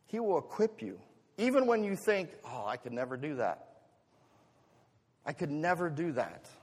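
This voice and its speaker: An American man delivers a sermon in a fairly high-pitched voice, with long reverb from a large hall. He poses rhetorical questions to the congregation as a pastor would. His delivery shifts between chatty asides and emphatic swells. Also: very animated, moderate pace, slightly rough.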